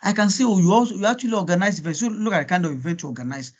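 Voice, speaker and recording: A man's voice: high voice, very fast, lo-fi sound. A Nigerian man, a native Yoruba speaker, coaching students in an informal workshop with an encouraging, repetitive manner and rhetorical questions. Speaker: guest speaker coaching students on LinkedIn profiles.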